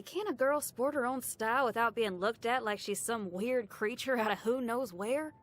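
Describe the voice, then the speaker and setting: A woman's voice: a little high, fairly bright tone, natural voice. A woman playing a brash, upbeat, free-spirited young female rock musician in a video game, with a folksy, slangy and enthusiastic way of talking. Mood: frank, wistful. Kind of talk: video game character voice lines.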